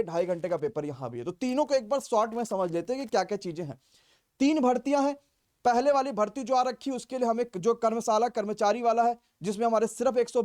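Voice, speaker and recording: A man's voice: sits high, darkish tone. A man explaining informally as a coaching teacher, repeating key points for emphasis. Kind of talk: informal exam vacancy briefing.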